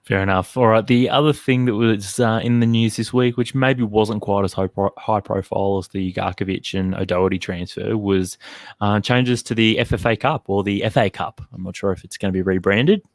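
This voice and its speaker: Aussie bloke hosting a footy pod, low voice, dry, lots of ums and restarts. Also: male voice, fairly quick, dry recording. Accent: Australian. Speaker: podcast host. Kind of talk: casual sports chat.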